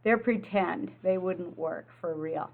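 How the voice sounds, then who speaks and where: A woman's voice: fairly low pitch, slow pace, vocal fry, narrow, tinny audio. A woman preschool teacher presenting a lesson and reading aloud to young children, explaining simply and prompting them with questions. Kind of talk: children's lesson and picture-book read-aloud.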